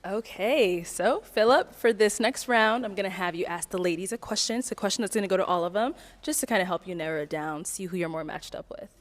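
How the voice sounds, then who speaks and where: Woman running a dating game, upbeat, asks quick questions, little laughs. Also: medium pitch. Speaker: dating game show host. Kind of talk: casual hosting, asking contestants questions.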